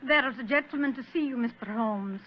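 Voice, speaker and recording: A woman's voice: fairly high pitch, a little fast, close to an everyday voice. A woman playing a polite, deferential housekeeper in 1940s radio style. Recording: noisy, dull old 1940s radio recording.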